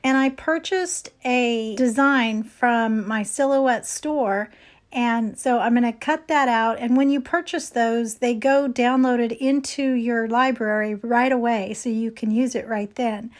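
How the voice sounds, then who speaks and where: A woman hosting a craft tutorial, explaining each step in a chatty voice-over, her voice slightly hoarse. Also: a little high, steady tone, a little slow. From California. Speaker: DIY craft channel host.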